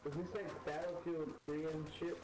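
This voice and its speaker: A man's voice: a little high, little pitch movement, normal speed, crowd noise. A man chatting bluntly with a friend during an online game, cursing and dismissive. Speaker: fellow player. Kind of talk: casual gaming chat.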